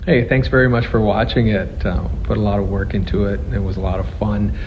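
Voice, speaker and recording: A laid-back Canadian man in his fifties who grew up speaking French. He answers in a fairly low voice with dry, easy humor. The recording is dull and lo-fi, with plain background noise. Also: level tone, fairly quick. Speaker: actor, guest. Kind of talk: casual couple interview.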